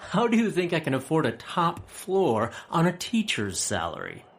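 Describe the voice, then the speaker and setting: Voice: medium pitch, wildly swooping pitch, moderate pace. An actor playing a shameless, philandering professor and breezy know-it-all forger in a comic audio drama, glib and pedantic.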